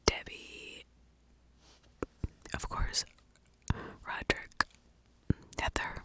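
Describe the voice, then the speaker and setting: An American woman whispering an ASMR true crime story in a hushed, informal, conversational way.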